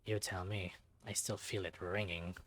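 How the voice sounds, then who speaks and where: Casting: gruff raccoon thief played by a male narrator, low, clipped and sardonic, for a visual novel. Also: fairly even intonation, normal speed, a bit bright. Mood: annoyed.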